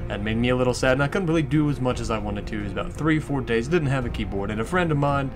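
Casting: male gamer host, mid-pitched, chatty and sweary, faint music behind. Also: fast. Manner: rambling, sweary. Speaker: let's play host. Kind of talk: casual gameplay commentary.

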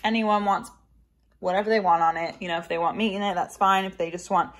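A woman's voice: medium pitch, fairly even intonation, fast talker. A woman in her thirties chatting casually through a grocery haul while handling items in her kitchen. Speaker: vlogger. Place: home kitchen.

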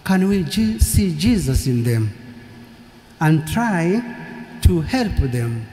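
A middle-aged priest delivers a funeral homily at a very unhurried, deliberate pace, his tone mellow and soft-edged. His voice rings out through a large cathedral with a long, lingering reverb. He speaks into a lectern microphone, leaving long pauses between phrases. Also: on the high side, sing-song, faint music behind. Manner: slow, measured preaching with long pauses. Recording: echoey cathedral from far off.

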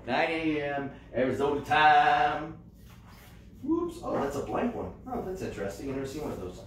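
A man's voice: mid-range voice, a little hiss or hum. An American man hosting a live shopping show in a casual, jokey, enthusiastic way. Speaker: host of a comics shopping show. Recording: roomy echo, distant microphone.

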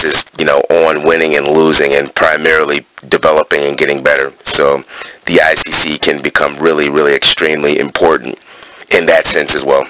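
A man's voice: very deep voice, pushed and bright, poor audio. A man reading coaching points aloud in a wrestling gym, breaking off into casual summaries with fillers. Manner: alternates terse read-out points with casual asides full of fillers.

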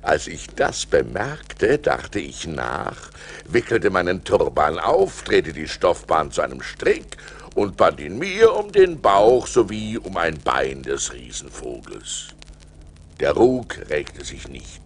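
A man's voice: low-pitched, takes it slowly, a little hiss or hum, heavily acted. An actor playing a fearful yet resourceful seafaring adventurer, telling his perils in a theatrical, suspenseful way for an audio play. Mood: hushed, thoughtful. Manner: theatrical, suspenseful, expressive delivery. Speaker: narrator and lead actor. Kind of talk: dramatic storytelling in a German audio play. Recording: vinyl record transfer.

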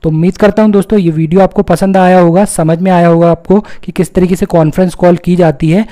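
A man's voice: high-pitched, fairly even intonation, quick pace, dark tone. A man with an Indian accent narrating a step-by-step phone tutorial, explaining things simply and repeating his points. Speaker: tutorial host.

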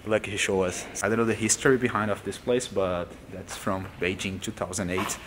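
A man's voice: on the low side, brisk, background noise. A young man narrating a casual travel vlog to a camera held at arm's length, in loose, offhand phrasing. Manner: loose non-native phrasing, relaxed commentary. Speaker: vlogger. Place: outdoors and in an empty concrete building.

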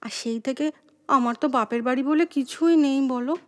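A woman's voice: high voice, moderate pace, close to an everyday voice. An actress playing a naive, childlike wife in a comic audio drama, coaxing and repeating her words in a playful, theatrical way. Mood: pleading. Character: childlike, chirpy, naive wife, cheerfully wheedling her exasperated husband.

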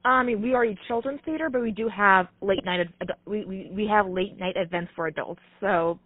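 A woman's voice: mid-range voice, a little fast, no room sound. A woman handling a customer service call, polite, careful and hesitant, over a phone line. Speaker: puppet theater phone receptionist.

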